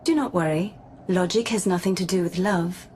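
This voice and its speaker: Woman acting a composed, low-voiced female robot. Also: darkish tone, a little hiss or hum, lightly acted. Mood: analytical. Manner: measured, formal, precise. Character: calm, polite female mind-reading robot, analytical and composed. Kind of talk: scripted radio drama acting. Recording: music playing underneath.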